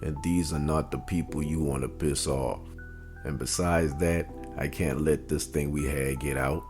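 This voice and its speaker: A man playing a menacing, coldly regretful mob enforcer in an audio story, speaking slowly and gravely over music. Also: extremely low pitch, fairly even intonation. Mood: grave menace. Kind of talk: dramatic audio story acting. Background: background music.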